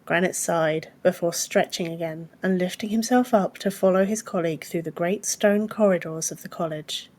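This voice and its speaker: A woman's voice: mid-range voice, a little mellow. A woman reading a fantasy story as a scripted audiobook narration.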